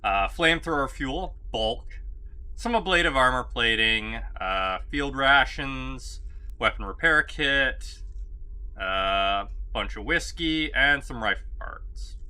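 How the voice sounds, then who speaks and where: Slow-talking playful male streamer. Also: mid-range voice, animated, bright, forceful tone, smooth. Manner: playful, chatty, reads chat aloud. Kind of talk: casual let's-play commentary.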